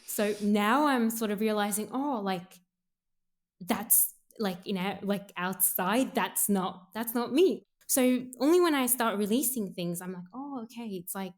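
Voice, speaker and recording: A woman's voice: mid-range voice, measured pace. A young adult woman singer from Sri Lanka, raised in Australia, talking casually in an interview and thinking aloud with lots of filler words. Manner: soft-spoken, frequent "like" fillers, thinking aloud. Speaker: singer, guest.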